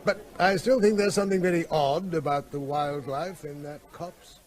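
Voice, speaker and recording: A man's voice: fairly high pitch, steady tone, normal speed, close to an everyday voice. An actor playing a mild, worried middle-aged country vet in a radio drama, hesitant as he mulls things over. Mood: uneasy, pondering. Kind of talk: naturalistic radio drama acting.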